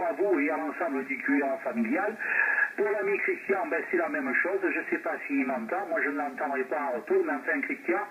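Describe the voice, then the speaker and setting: A man chatting casually and courteously over two-way radio as an amateur operator, through a tinny, noisy, distant transmission. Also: on the high side. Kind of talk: casual radio contact chat.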